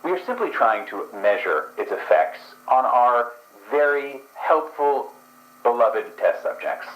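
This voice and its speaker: A man's voice: mid-range voice, expressive, a little slow, a bit bright, roomy sound, low-quality recording. A man voicing a coolly polite researcher running a drug trial, speaking in a clinical, formal way. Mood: smooth.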